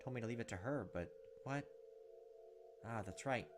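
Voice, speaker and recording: A man's voice: mid-range voice, normal speed. Young man narrating a game over music. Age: young adult. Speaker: let's play host. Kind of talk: reading visual novel text aloud with commentary.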